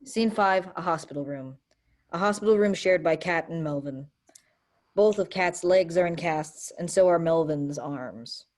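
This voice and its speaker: A woman's voice: lowish voice, a little slow. A woman giving scripted narration, reading stage directions for a play reading.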